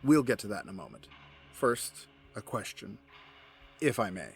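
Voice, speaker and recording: Man playing a low-voiced, urbane criminal mastermind. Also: very animated, moderate pace, gravelly, slight background noise. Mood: measured, probing. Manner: formal, urbane, elaborate wording. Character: cold, polished male criminal mastermind, courteous and calculating. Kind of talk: audio drama acting.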